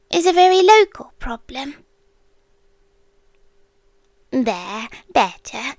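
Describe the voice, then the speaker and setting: A British woman playing an ancient, calm, doting apocalyptic girlfriend in a soft, partly whispered ASMR roleplay, speaking slowly and deliberately. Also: sits high, no echo, clean studio sound, full character voice. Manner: slow, hushed, deliberate delivery.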